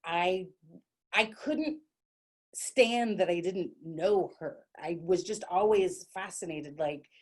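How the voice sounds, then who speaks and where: A middle-aged woman from Dallas performs a comic solo monologue. Her voice sits a little low for a woman. She talks in a chatty, self-mocking way, with plenty of likes and quick restarts. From Dallas, Texas.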